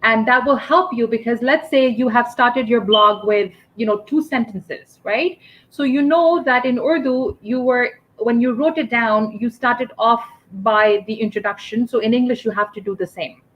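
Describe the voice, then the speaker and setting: A woman's voice: a little high, moderate pace. Patient woman tutor on a muffled call. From Pakistan. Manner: patient, explaining step by step with frequent "right?" checks. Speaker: English tutor. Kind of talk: one-on-one online tutoring.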